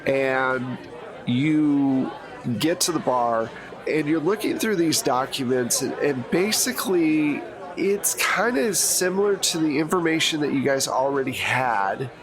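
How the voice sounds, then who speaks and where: A man narrating as game master in a tabletop role-playing session, explaining scenes in a relaxed, laid-back way. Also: medium pitch, a little slow, a crowd in the background.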